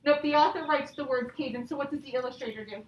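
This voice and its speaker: A high-voiced woman speaks as an elementary teacher, guiding young children through a lesson and storybook. She is instructive and often checks in with questions. The sound is roomy and a little far from the mic, with faint noise. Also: quick pace.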